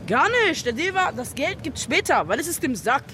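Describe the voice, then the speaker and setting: A man's voice: very high voice, big pitch swings, normal speed, sharp tone, slight background noise, exaggerated voice. An actor playing a cocky streetwise teenage boy hustler in a radio drama, talking in clipped slang. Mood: pushy, defiant.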